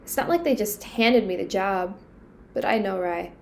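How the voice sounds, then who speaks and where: An earnest, anxious submarine crewwoman acted by a woman in an amateur audio drama. Her voice has a noticeable creaky fry, and she pleads in a natural, conversational way. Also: mid-range voice, normal speed, close to an everyday voice. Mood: worried.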